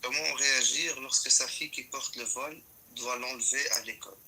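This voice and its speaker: A man's voice: mid-range voice, fairly quick, sharp tone, a little hiss or hum, rough recording. A man reading out audience questions, hesitant with fillers.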